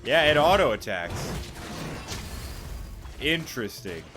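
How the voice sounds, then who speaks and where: A man's voice: medium pitch, sing-song, slow. A man giving casual, offhand commentary on a video game he is playing, with wry asides. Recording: game music behind the voice.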